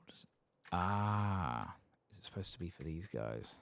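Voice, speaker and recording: A soft-spoken man quietly commentating a video game in ASMR style, murmuring as if to himself. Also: low-pitched, big pitch swings, normal speed, vocal fry. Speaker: ASMR gaming streamer.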